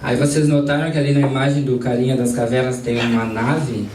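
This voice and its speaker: Man teaching a study group, mellow tone, asks his listeners questions; captured from afar in an echoey room. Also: medium pitch, level tone, fairly quick. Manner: conversational, asks the audience questions. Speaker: spiritist study group leader. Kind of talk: informal group lesson with questions to audience. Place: study centre meeting room. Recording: roomy, distant mic, faint background noise.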